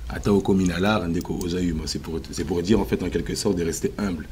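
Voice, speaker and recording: A man answering questions as a musician guest in a TV interview, confident and emphatic, with frequent filler pauses. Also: sits low, fairly even intonation, darkish tone, a little hiss or hum. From Congo.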